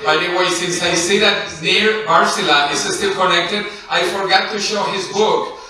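Middle-aged male conference speaker, Spanish accent, very bright forceful voice, heavily echoing hall. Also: sits high, moderate pace. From Venezuela. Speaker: conference speaker and session host. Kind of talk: conference presentation, informal. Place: indoor conference lecture hall at podium. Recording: echoey lecture hall, distant mic.

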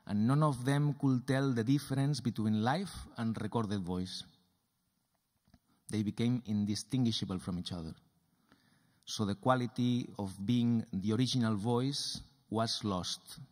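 Brief: man in his thirties lecturing deliberately from a stage into a stand mic, roomy hall reverb. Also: mid-range voice, darkish tone. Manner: deliberate, repetitive phrasing. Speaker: lecturer and performer. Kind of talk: lecture performance. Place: dark theatre stage, seated at a table.

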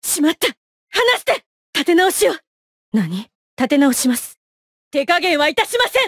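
A young female knight from a fighting game, with a high voice that swings widely in pitch. She is haughty and coolly ruthless, polite in wording but imperious in delivery. The recording is dry and close, with clipped battle lines. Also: sing-song, moderate pace, fairly bright tone, no room sound. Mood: fierce.

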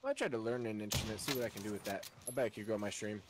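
A man's voice: fairly low pitch, background noise. A man livestreaming a game, rambling in casual chat with lots of fillers. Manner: rambling, filler-heavy, quick and chatty. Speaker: streamer.

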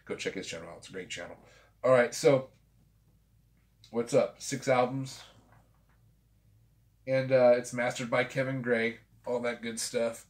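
A man's voice: mid-range voice, fairly even intonation, measured pace, pauses often. Chatty tipsy man rambling at his desk. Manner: loose and chatty, swears freely, tipsy. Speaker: vinyl collector channel host. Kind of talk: casual rambling vlog. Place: small home room with framed pictures and a guitar.

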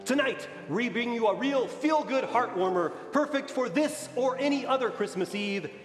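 A man's voice: very high voice, animated, normal speed. A man playing a grand, welcoming host of a 1940s radio show. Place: large church stage before an audience. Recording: echoey hall, music behind.

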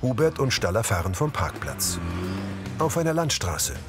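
A low-pitched male narrator delivers scripted audio description. His intonation moves expressively, and loud music plays behind him. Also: sing-song, moderate pace.

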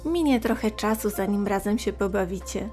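A woman narrating a scripted story for young children in a gentle, expressive, clearly phrased way. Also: mid-range voice, normal speed, faint music behind.

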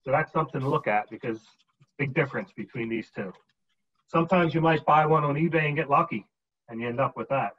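A man in his forties giving a casual show-and-tell seminar from home on a video call, relaxed and explaining as he holds items up. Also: mid-range voice, a little fast. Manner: relaxed, explains while holding up items, frequent ums. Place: home dining room with a glass cabinet. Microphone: webcam on a video call. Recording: muffled video-call audio.

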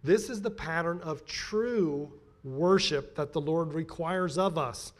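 A middle-aged American man giving a sermon as a pastor, emphatic and deliberate in his delivery. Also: fairly high pitch. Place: church pulpit, indoors.

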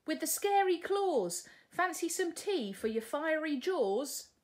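A woman's voice: sits high, big pitch swings, takes it slowly, pitched above the performer's own voice, heavily acted. A woman voicing a bold, impatient young storybook princess in a cheeky, exclamatory way. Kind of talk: storybook character reading.

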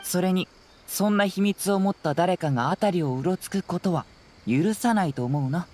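A man's voice: high voice, normal speed, darkish tone, a little hiss or hum, close to an everyday voice. An actor playing a clever, confident young male detective in an anime game, speaking in a composed, casual, analytical way. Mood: thoughtful. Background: music.

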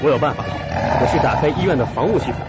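A man acts out a brave, protective young man in a suspense radio play. His fairly high voice swings widely in pitch with earnest feeling. Loud music plays behind the slightly dull recording. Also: animated, racing, close to an everyday voice. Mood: urgent. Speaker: actor in an audio drama. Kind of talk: suspense radio drama acting.

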